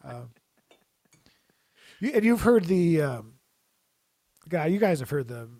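A man with a somewhat high voice rambles through jokey stories in casual chat, often restarting his sentences. The sound is close and dry, with no room around it. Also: big pitch swings, slow pace, dark tone, no echo.

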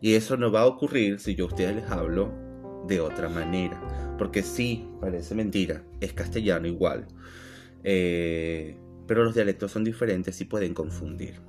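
A man's voice: deep voice, moderate pace, music in the background. A man with a Venezuelan accent, touched by an Argentine lilt, co-hosting a casual podcast and telling stories that act out quoted dialogue. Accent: Venezuelan Spanish, partly Argentine-tinged. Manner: lively storyteller, acts out quoted dialogue. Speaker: podcast co-host.

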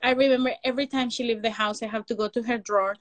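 A woman from Mexico City with a Mexican Spanish accent, Spanish her first language, speaking English. She chats loosely as a guest, piling on "like" and laughing easily. Her voice sits fairly high, and the sound is close and dry with no room around it. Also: fairly even intonation, rapid-fire, no echo. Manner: rambling, frequent "like" fillers, non-native grammar, laughs often. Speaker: guest, makeup and prosthetic artist. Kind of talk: casual interview.

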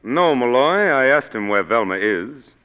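An actor playing a smooth, condescending male psychic consultant in a 1940s radio drama, heard on a dull old broadcast recording with hall reverb. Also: medium pitch, big pitch swings, unhurried. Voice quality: smooth, pompous.

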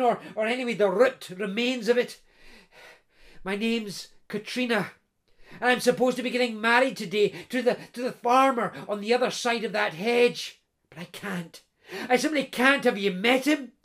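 A man's voice: very high-pitched, fairly bright tone. A man doing a frantic young runaway bride. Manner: breathless, pleading, rushed.

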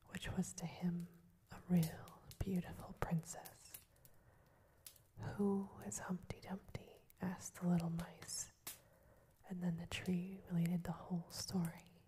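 A woman reading a fairy tale aloud as an ASMR storyteller, in a soft, steady whisper. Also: fairly low pitch, low-quality recording.

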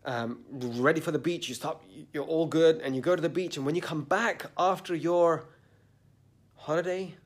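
A man with a slightly blocked nose hosting a motivational podcast, talking in an earnest, slow and deliberate way and stressing his words. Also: a little high, fairly even intonation. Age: adult, a parent. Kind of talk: reflective motivational monologue. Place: sitting indoors at dawn.

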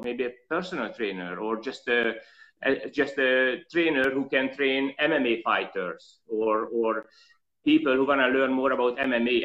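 A man who is not a native English speaker, with a slight European accent, telling his life story earnestly in an interview and pausing often on fillers. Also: mid-range voice, muffled recording. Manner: earnest, reflective, halting with fillers. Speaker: guest.

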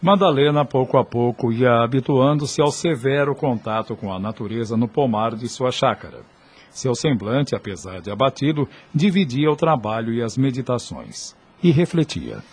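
A man's voice: mid-range voice, animated, normal speed, slightly rough. A man with a Brazilian accent narrating a radio drama in a solemn, formal storytelling style. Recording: music bed under the voice.

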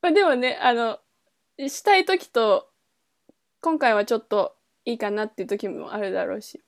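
Higher-voiced woman chatting casually. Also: moderate pace, creaky, dry recording, clean studio sound. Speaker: podcast co-host, performing musician. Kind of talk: casual chat.

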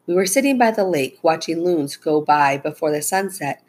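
A woman voicing an excitable boy who tells his adventure in a rushed, breathless way, in a children's storybook. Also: sits low, full character voice. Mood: excited, breathless.

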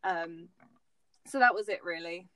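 A woman's voice: lowish voice, a little slow, fairly bright tone, no room sound. A young Dutch woman in her twenties with an English accent, chatting as a podcast guest and laughing easily. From Netherlands. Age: 20s. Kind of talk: casual chat.

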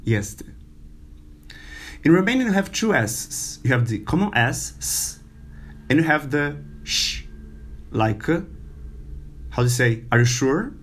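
A man who is not a native English speaker giving an informal language lesson, halting and full of fillers, repeating words for the learner. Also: a little high, expressive, a little hiss or hum. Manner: slow, halting, many fillers and repetitions. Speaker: language teacher.

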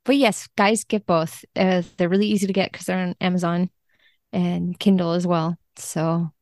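A woman's voice: lowish voice, flat delivery, normal speed, a little mellow, no echo, clean studio sound. A woman talking casually and enthusiastically as a guest on a podcast. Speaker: podcast guest, book translator. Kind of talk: casual chat.

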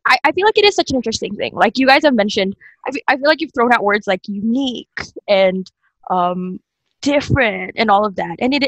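A woman's voice: mid-range voice, a bit bright, no echo, rough recording. A young adult woman from Indonesia hosting a casual panel discussion. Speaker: podcast host.

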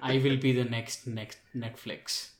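A man's voice: mid-range voice, level tone, measured pace. Man chatting in an echoey room. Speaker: podcast co-host. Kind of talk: casual tech podcast chat. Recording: roomy, echoey sound.

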